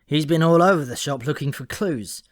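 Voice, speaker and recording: A man's voice: a little high, normal speed, dry recording, clean studio sound, pitched above the performer's own voice, lightly acted. Man voicing an earnest, insistent talking raven. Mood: chatty. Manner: defensive, chatty, colloquial. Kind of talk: comic fantasy audiobook character voice.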